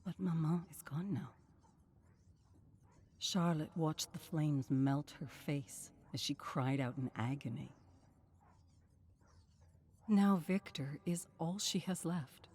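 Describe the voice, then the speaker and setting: Slow, dramatic story narrator. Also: medium pitch, a little mellow, husky. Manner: grave, suspenseful, measured delivery.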